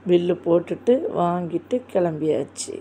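A woman's voice: lowish voice, fairly even intonation, moderate pace, gravelly, mellow, slight background noise. Chatty woman narrating a vlog. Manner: chatty, enthusiastic, repeats "super super". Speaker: vlogger. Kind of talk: casual shopping vlog narration.

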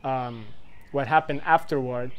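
A man's voice: medium pitch, unhurried, smooth, highs cut off. A man in his twenties giving advice in casual talk to the camera, rambling and loose, full of fillers and asides. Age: 20s. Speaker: vlogger giving life advice.

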